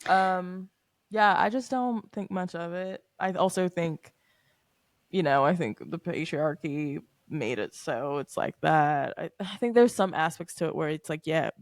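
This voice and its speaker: A woman's voice: fairly low pitch, unhurried, no room sound. A woman from Tanzania co-hosting a podcast, chatting casually, laughing easily and speaking frankly. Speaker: podcast co-host. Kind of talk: casual chat.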